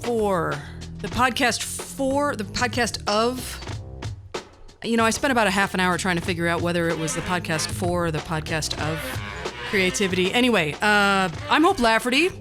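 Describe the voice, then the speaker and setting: A woman's voice: mid-range voice, moderate pace. A woman hosts her own podcast with a mid-range voice and a wry, self-deprecating manner. Her talk is casual and conversational, with music faintly behind her. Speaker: podcast host.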